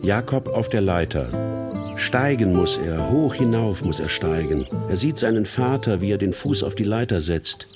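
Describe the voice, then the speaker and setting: A man narrating a literary radio play in a measured storytelling manner. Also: low voice, animated. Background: loud plucked guitar music.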